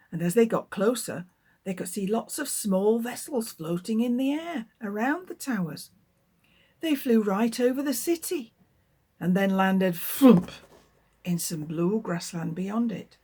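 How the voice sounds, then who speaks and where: Husky-voiced woman reading a children's story. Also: medium pitch. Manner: lively, expressive storytelling. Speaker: children's author. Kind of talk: reading her book aloud.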